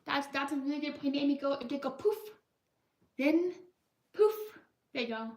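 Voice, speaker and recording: A young woman hosting a live art stream, chatting casually and rambling with many restarts in a high-pitched voice. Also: expressive, moderate pace, darkish tone. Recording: roomy echo, mic far off.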